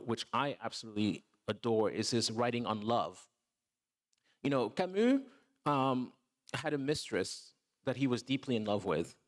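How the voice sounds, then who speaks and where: Thoughtful man speaking on a panel. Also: medium pitch. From Togo. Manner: thoughtful, repeats words when starting, says "you know" and "right". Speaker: film producer, guest. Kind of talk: reflective panel talk.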